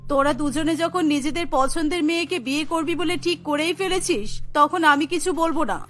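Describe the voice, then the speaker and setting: A woman's voice: high voice, brisk, soft background music, lightly acted. A woman voicing a proud, wealthy mother of grown sons in a cartoon, haughty and boastful. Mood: commanding. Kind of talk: cartoon story voice acting.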